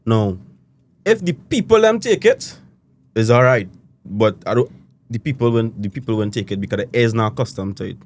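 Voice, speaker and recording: A man's voice: lowish voice, expressive, fairly quick, a little hiss or hum. A young Trinidadian man with a Trinidadian accent, a musician being interviewed, talking casually and rambling with loose island phrasing and lots of "you know". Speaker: guest musician.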